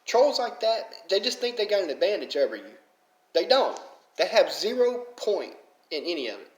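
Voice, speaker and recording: Southern man with a thick Alabama accent, casual vlog rant, fairly high-pitched, recorded in a roomy space. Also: sing-song, moderate pace. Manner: rambling, heavy "you know" fillers, fired up. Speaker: mobile game player.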